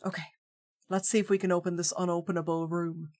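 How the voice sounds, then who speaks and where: A woman voicing a level-headed young male protagonist in a calm, matter-of-fact manner. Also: fairly low pitch, brisk, no room sound, studio quality, close to an everyday voice.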